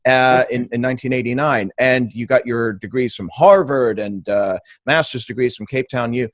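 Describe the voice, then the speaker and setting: A man's voice: medium pitch, normal speed, dry recording. A man from England hosting a political podcast, asking long, rambling conversational questions. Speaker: podcast host.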